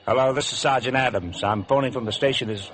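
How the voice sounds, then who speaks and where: An actor playing a dutiful American police sergeant, urgent and deferential, in 1950s radio drama style. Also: male voice, medium pitch, quick pace, a bit bright, natural voice, rough recording. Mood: brisk, dutiful. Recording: vintage radio broadcast with faint crowd noise.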